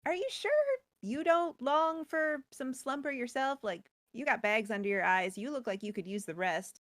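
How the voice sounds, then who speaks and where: Storybook spider weaver voiced by a woman: female, blunt, casual and nudging, lifted pitch, dry close sound. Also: normal speed, fairly bright tone, no echo, close to an everyday voice. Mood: breezy, coaxing. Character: breezy, blunt female giant-spider weaver giving friendly advice.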